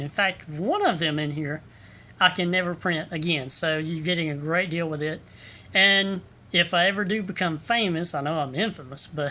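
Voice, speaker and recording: Bright-voiced rambling man. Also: on the high side. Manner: rambling, hesitant, full of ums and restarts. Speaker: local photographer selling prints. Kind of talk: casual sales pitch to camera. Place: indoor room with an overhead fan. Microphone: phone camera.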